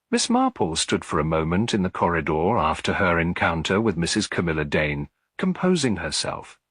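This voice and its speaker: A man's voice: deep voice, fairly bright tone, slightly rough, no room sound, clean studio sound. A man reading a scripted detective story as an audiobook narrator.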